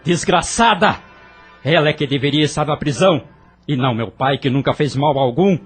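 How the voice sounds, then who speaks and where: A man voicing an earnest young man in hiding, pleading and explaining in an audio drama, with a husky voice. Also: a little high, expressive, normal speed, faint music behind. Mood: indignant. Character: young man Vinícius, earnest persecuted son.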